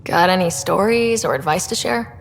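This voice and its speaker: Young female expedition leader for a game: slightly low, creaky, calm, inquisitive. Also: moderate pace, a little hiss or hum. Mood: curious. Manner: calm, attentive, brief questions. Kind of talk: video game character dialogue. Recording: hall reverb, faint music behind.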